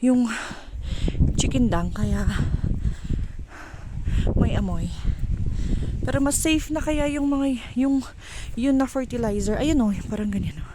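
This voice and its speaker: A woman with a Filipino accent chatting casually and haltingly for a vlog while walking outdoors, mixing in English phrases. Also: a little high, lively intonation. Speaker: vlogger. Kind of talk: casual walk-and-talk vlog chat. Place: outdoors on a windy countryside walk. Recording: noisy windy outdoor ambience.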